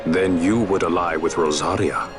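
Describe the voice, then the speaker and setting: Man acting low-voiced, eloquent, wary adventurer. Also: normal speed, music in the background, natural voice. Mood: challenging. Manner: eloquent, cool, archaic phrasing. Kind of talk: fantasy game cutscene acting.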